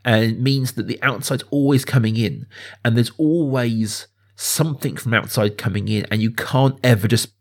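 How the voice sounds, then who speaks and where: A man's voice: mid-range voice, moderate pace. A man hosting a casual film discussion podcast, explaining things in thoughtful, enthusiastic detail. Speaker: podcast host.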